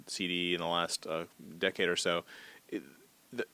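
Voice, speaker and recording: A man interviewing in a conversational way, working haltingly and discursively toward his questions. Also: sits low, flat delivery, pushed and bright, clean tone.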